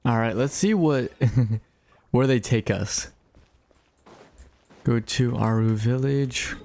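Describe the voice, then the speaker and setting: A man's voice: mid-range voice, slow pace, gravelly, a little mellow. A man giving casual, chatty live gameplay commentary as a streamer, reacting as he goes. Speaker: game streamer.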